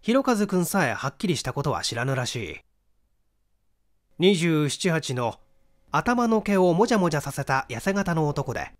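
A man reading a mystery novel aloud as scripted literary narration. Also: on the high side. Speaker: audiobook reader.

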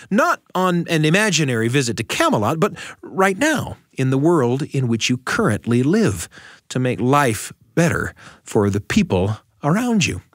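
An American man presents a radio show like a storyteller. His mid-pitched voice swings widely, with dramatic pauses, in a dry, close recording. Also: no echo. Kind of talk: expressive scripted storytelling narration.

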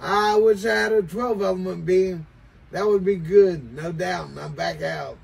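An older American man calling out on CB radio in showy, slangy banter, repeating catchphrases, with a gravelly voice. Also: high-pitched, unhurried, over loud music. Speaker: CB radio operator. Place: small cluttered indoor radio room or shed. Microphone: desk CB microphone in hand, filmed from across room.

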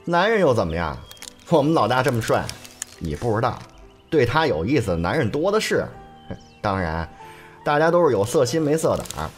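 Casting: male actor as gossipy young henchman, lively mid-range voice, teasing, audio drama. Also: medium pitch, brisk, soft background music, lightly acted. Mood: playful. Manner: teasing, chatty. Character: cheeky, gossipy young gang underling. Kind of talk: Chinese audio drama acting.

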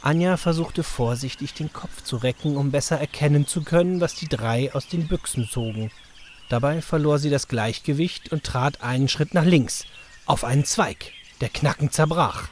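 A man's voice: fairly high pitch, moderate pace, darkish tone, slight background noise. A man narrating a scripted story for children as its storyteller. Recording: music under the voice. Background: music.

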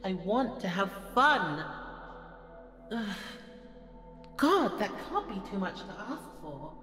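A woman voicing a bored, volatile, haughty goddess in an ASMR audio roleplay, drawling and slipping into breathy murmurs. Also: mid-range voice, sing-song, slow pace, fairly bright tone. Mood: bored, irritated. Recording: echoey hall reverb with music behind.

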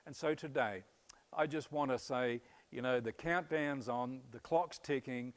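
A man in his fifties with an Australian accent, a pastor giving earnest, upbeat church announcements on a stage, pausing often on "uh", with a lapel mic. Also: mid-range voice. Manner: earnest, upbeat, many "uh" fillers. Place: church stage before a curtain.

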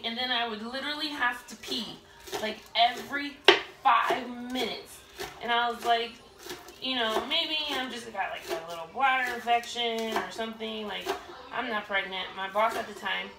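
A woman in her thirties tells a rambling personal story as she cooks, her fairly high voice catching into a gravelly fry. She is picked up by a camera across the room, and the kitchen sounds roomy. Pans and dishes clatter clearly around her. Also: far from the mic, background noise. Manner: rambling, chatty, many fillers and restarts. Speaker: cooking vlogger. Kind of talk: casual storytelling while cooking. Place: home kitchen, indoors. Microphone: camera set across the room.

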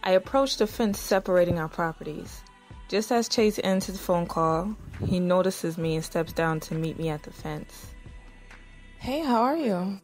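A woman's voice: fairly low pitch, animated, unhurried, faint music behind, rough recording. A woman playing a conflicted, defensive young married woman who also narrates her story in an audio drama. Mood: reflective, then apologetic. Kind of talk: audio drama acting with first-person narration.

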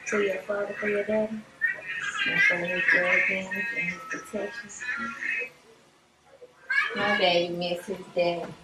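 A woman's voice: mid-range voice, expressive, measured pace, pauses often, over loud music. A young American woman chatting casually and sassily as she hosts a home cooking vlog. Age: young adult. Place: home kitchen, indoors. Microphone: far camera microphone. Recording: roomy, distant, noisy kitchen recording.

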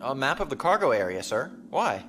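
An actor playing a dutiful imperial space officer in a science fiction audio drama, formal and military in manner. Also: male voice, mid-range voice, big pitch swings, fairly quick, fairly bright tone. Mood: uneasy deference. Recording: clear background noise and ambience.

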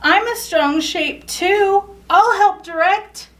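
A woman's voice: very high-pitched, takes it slowly, fairly bright tone, far from the mic, pitched above the performer's own voice, exaggerated voice. A woman voicing an eager, cheerful trapezoid character from a picture book, keen and pleading to be included. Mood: hopeful. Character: eager, cheerful trapezoid shape who wants in.

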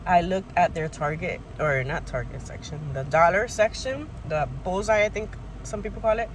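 A woman's voice: lowish voice, moderate pace, poor audio. A young adult woman with an American accent, talking casually to camera as a vlogger on a handheld phone in a car. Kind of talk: casual chatty vlog talk to camera. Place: inside a parked car. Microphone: handheld phone at arm's length. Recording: phone in a car, faint background noise.

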